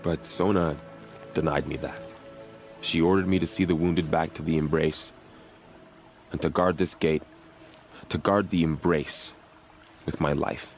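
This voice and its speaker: Super deep voiced warrior on gate duty, grave and dutiful, game dialogue, bit of music behind. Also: male voice, steady tone. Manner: measured, earnest, grave. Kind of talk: video game voice acting.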